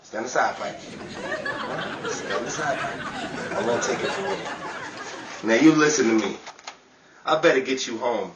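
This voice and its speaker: A man playing an eager, easily excited, bumbling suitor in an old-time radio comedy, speaking in hesitant exclamations. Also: medium pitch, measured pace, pauses often. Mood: eager, flustered. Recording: roomy, distant mic with noise and crowd.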